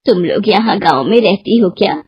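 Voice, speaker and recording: A woman voicing a meek, sorrowful old mother-in-law, halting and tearful, in a story. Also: mid-range voice, lively intonation, husky, no room sound, muffled recording, pitched below the performer's own voice. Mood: weakly grateful.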